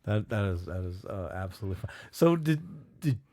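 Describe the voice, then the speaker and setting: A man's voice: lowish voice, very animated, fairly quick, darkish tone. A man hosting a podcast in informal, bantering chat, riffing and swearing freely. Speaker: podcast host.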